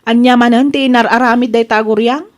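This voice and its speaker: A woman's voice: a little high, steady tone, fairly quick, natural voice. An actress playing an indignant village mother who is eager for gossip, in a radio drama, with an Ilocano accent. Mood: curious.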